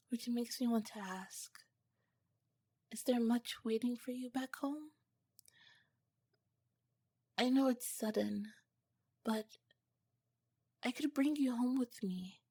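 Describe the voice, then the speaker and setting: A woman plays a female alien scientist falling in love, in an intimate ASMR roleplay. Her voice is breathy and whispery, close and dry on the mic. She talks softly in formal, curious, slightly halting phrases. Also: mid-range voice, no room sound. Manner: soft, halting, formal wording.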